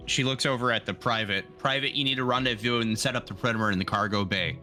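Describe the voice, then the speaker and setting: A man's voice: mid-range voice, fairly even intonation, fast, pushed and bright, faint music behind. A man playing a tabletop roleplaying game, stating his moves decisively in casual talk.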